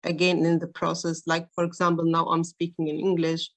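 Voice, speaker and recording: Woman on a research panel, lowish voice, lots of uhs and restarts. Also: monotone, a little fast. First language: Arabic. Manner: hesitant, many fillers and restarts. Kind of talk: conference roundtable remarks.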